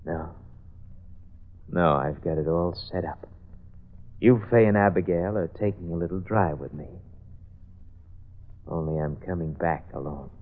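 A sly, unhinged killer who purrs taunts in a lowish voice and snaps into sudden fury. It sounds like a thin, tinny old radio broadcast. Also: male voice, measured pace, long pauses. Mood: taunting. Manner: taunting, sly, bursts into rage. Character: unhinged adult male killer, smug and menacing. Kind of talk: 1940s radio acting.

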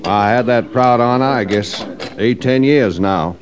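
A man's voice: medium pitch, measured pace, natural voice. An American man acting a folksy, humble veteran cavalryman in a 1950s radio western, with rustic, modest speech. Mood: humble, proud. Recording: dull vintage radio recording.

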